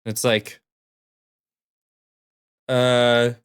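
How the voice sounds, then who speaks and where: A man's voice: mid-range voice, completely flat delivery, drawn-out words, clean tone, dry recording, clean studio sound. A man chatting casually as a podcast co-host, plain-spoken and opinionated. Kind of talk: casual chat.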